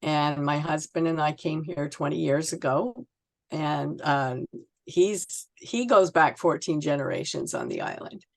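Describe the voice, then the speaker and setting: A woman's voice: low-pitched, animated, a little slow, gravelly. A Canadian woman in her sixties from Ontario, chatting and telling anecdotes as a guest author in a casual interview. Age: 60s.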